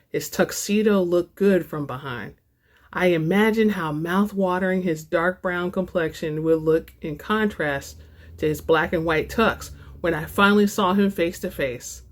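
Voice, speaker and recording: A woman hosting a book channel, moving between casual chat to viewers and dramatic reading aloud. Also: lowish voice.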